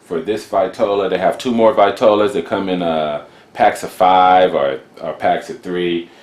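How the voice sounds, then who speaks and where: A man's voice: deep voice, fairly even intonation, measured pace, reverberant. A man casually rambling as he shows cigars from his humidor in a vlog. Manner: laid-back, rambling, chatty with fillers. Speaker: cigar reviewer. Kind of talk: casual show-and-tell vlog. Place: room beside his humidor.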